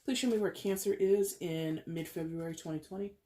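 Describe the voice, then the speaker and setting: Stuffy-nosed tarot reader, chatty and rambling, mid-pitched, clearing a dry throat. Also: fairly even intonation, brisk, mellow. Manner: chatty, rambling, self-correcting with small laughs. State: congested with a head cold. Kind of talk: casual tarot reading to camera.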